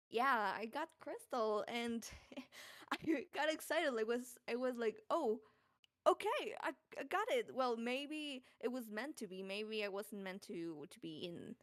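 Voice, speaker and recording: A woman whose first language is Spanish, a voice actress telling her story in a casual live-stream Q&A, chatty and excitable. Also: on the high side. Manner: chatty, excitable, laughs often. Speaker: voice actress guest.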